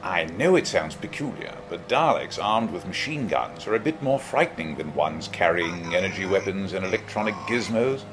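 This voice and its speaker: An actor playing an eccentric, flippant time-travelling alien adventurer, whimsical, wry and fussily precise, in an audio drama. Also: male voice, low voice, animated, moderate pace, hardly any pauses, music in the background. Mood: wry, musing.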